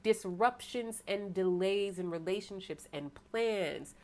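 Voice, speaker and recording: Casting: American woman, tarot reader, deep voice, preaching tone, rhetorical questions, quick bursts. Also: a little mellow. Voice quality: self-described deep voice. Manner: preachy, rhetorical, asks "right?" often, bursts of fast talk. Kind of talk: tarot sermon to an online audience.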